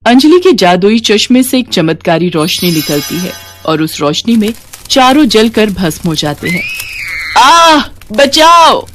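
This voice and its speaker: A woman tells a scripted moral tale. Her voice is mid-pitched, and she narrates in the manner of a bedtime storyteller. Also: expressive, normal speed, faint music behind.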